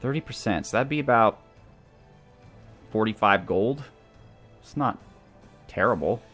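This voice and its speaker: Guy with a low voice doing chill lets play commentary, thinking out loud, bit of music in the back. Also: animated, a little slow. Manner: thinking aloud, weighing options. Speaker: host of a gaming series. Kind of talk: casual video game playthrough commentary. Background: background music.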